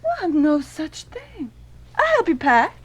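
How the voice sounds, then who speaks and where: A woman's voice: high voice, very animated, measured pace. An actress playing an insistent, anxious Victorian mistress and mother in a stage play, speaking in an emphatic, pleading manner. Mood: cold. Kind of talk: stage play acting, period dialogue. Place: theater stage before an audience.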